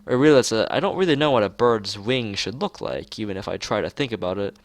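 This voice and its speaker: A man with a low-set voice and lively intonation reads game text aloud as a let's player. His delivery is informal and a little stumbling, with reread words and casual asides. A gravelly fry runs through it, and faint music plays behind him. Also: fairly quick, dry recording. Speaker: let's player reading a visual novel aloud. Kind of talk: informal read-aloud narration with off-the-cuff asides.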